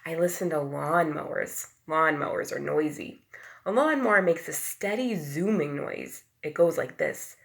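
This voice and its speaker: A woman's voice: deep voice, unhurried, gravelly. A woman teacher reading a picture book aloud to young children, expressively, acting out the sound words and explaining things simply. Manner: acts out sound words, dropping to whispers and rising to loud calls; asks the young listeners questions and explains simply.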